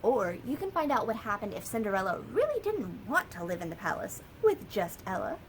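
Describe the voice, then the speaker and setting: An American woman, a children's librarian, telling a story and sharing books for kids in a lively, sing-song way. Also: mid-range voice, brisk, slight background noise, low-quality recording.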